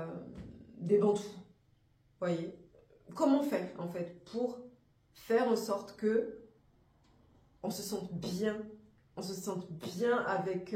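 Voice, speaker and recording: A woman with a hoarse voice from a cold, presenting an afro hair care course in a halting, thinking-aloud, earnest way. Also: medium pitch, dark tone, echoey room. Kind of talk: informal spoken course presentation.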